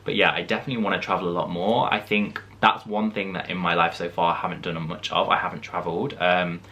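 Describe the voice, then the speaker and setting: A man's voice: deep voice, level tone, quick pace, pushed and bright. A young man in his twenties from the English Midlands, chatting casually as he answers viewer questions in a vlog. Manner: chatty, reflective, frequent "like" and "um" fillers. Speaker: vlogger.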